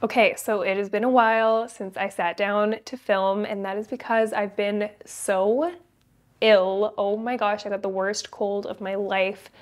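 A woman's voice: medium pitch, fairly even intonation, a little slow. A young woman with a stuffy, congested voice, chatting candidly and upbeat through a makeup tutorial in a plain indoor studio. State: congested from a cold. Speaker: beauty creator. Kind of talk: casual makeup tutorial chat.

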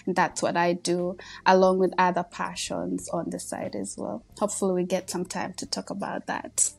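A woman's voice: mid-range voice, gravelly. A woman in her thirties with a Kenyan accent, a podcast guest talking casually and humbly about her life, with many fillers. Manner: warm, humble, chatty with frequent fillers and laughs. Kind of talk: casual interview storytelling.

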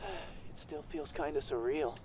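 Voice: mid-range voice, huge pitch swings, measured pace, gravelly, narrow, tinny audio, full character voice. An actor playing a dramatic, needy college guy in a visual novel game, with a pleading delivery. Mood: grateful. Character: dramatic, needy male college best friend.

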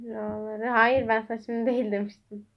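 A woman's voice: fairly high pitch, little pitch movement, a little slow, muffled recording. A woman chatting casually as she hosts a homemade quiz game and speaks to viewers. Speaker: vlog host quizzing a child.

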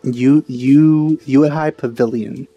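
A man chats casually as he plays a video game. His voice is relaxed and mid-pitched, with an offhand, wry manner, and music runs faintly behind. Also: slow, muffled recording. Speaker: gamer doing a let's play.

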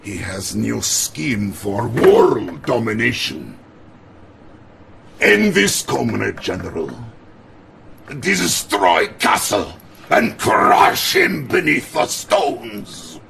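An actor playing a jovial, bombastic middle-aged Soviet premier with a Russian accent and exuberant delivery in a game cutscene. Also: male voice, on the low side, sing-song, drawn-out words, creaky, a little hiss or hum, heavily acted. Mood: grave, conspiratorial. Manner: exuberant, swinging between shouts and hushed scheming. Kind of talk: theatrical video game cutscene acting.

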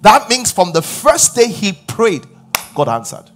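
A pastor in his forties preaching a sermon on a large church stage before a live audience, his voice rising loud on key points as he questions the crowd. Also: high-pitched, wildly swooping pitch, a little slow, faint music behind.

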